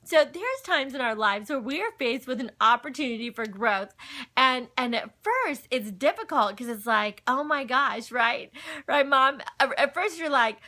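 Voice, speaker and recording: A woman's voice: high voice, very animated, normal speed, pushed and bright. A middle-aged woman hosting a livestream from home, telling personal stories and talking about faith in a chatty, folksy way, often addressing viewers. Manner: chatty, folksy, breaks off to greet and answer viewers. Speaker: livestream host. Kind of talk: casual chat, personal storytelling and faith talk. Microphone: phone.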